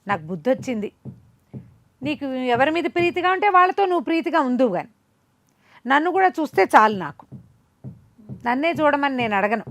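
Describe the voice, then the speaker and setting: A woman's voice: sits high, very animated, fairly quick, pauses often, slight background noise, pitched above the performer's own voice. A woman storyteller voicing a scheming young maidservant who pleads and wheedles plaintively in a folk tale. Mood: ingratiating.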